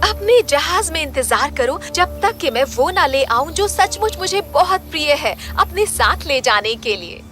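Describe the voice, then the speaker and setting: Very high-pitched false sweet princess, acted by a woman. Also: sing-song, measured pace, few pauses, fairly bright tone, faint music behind, full character voice. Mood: sweet, coaxing. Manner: polite, sweetly formal. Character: sly witch disguised as a sweet young princess. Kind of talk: audio fairy tale voice acting.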